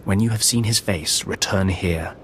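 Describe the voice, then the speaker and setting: Game villain's apprentice, very low, formal and persuasive, serving a shadowy master, faint noise behind. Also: male voice, moderate pace, a bit bright. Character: scheming Sith apprentice, deferential servant of shadowy master. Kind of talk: video game cutscene acting.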